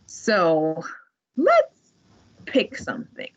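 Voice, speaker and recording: A woman from the library staff demonstrating a language database in an online tutorial, in a relaxed, chatty way. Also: medium pitch, extremely slow. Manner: relaxed, chatty, laughs often. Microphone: video call. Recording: muffled online meeting audio.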